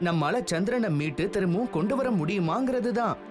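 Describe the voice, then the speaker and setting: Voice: mid-range voice, expressive, fairly quick, close to an everyday voice. An actor voicing a brave, kind young boy hero who leads decisively and reassuringly in a children's cartoon dub. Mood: determined. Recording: loud music under the dialogue.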